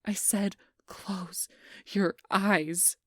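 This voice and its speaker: A woman's voice: mid-range voice, steady tone, extremely slow, dry recording, studio quality. A woman playing a possessive, manipulative fairy in an ASMR audio roleplay, imperious and desperate, often whispering her commands. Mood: uneasy, menacing. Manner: whispery, commanding, swinging to pleading outbursts.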